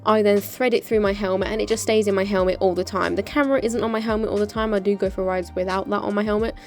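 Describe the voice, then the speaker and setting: A British woman presents a casual gear explainer in a chatty, practical way. Her voice sits at an ordinary mid pitch for a woman. Soft music plays behind her. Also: steady tone, fast. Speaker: motorcycle vlogger presenting.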